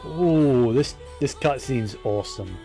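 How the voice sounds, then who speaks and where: A man commentating casually on a video game in short, informal reactions. His pace is slow and his pitch ordinary, over faint game music. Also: lively intonation, vocal fry, dark tone. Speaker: gaming streamer.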